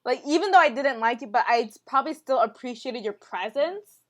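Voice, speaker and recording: A woman co-hosting a casual podcast chat, talking in a relaxed way with short reactions and questions. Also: high-pitched, a little fast. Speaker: podcast co-host.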